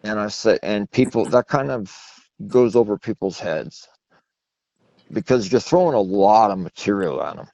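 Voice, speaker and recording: Male interview guest, low voice, long-winded storyteller with a self-deprecating streak, dull dry phone audio. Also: lively intonation, normal speed, creaky, dark tone, dry recording. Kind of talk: rambling phone interview conversation. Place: phone call. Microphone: telephone. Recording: muffled low-fidelity phone line.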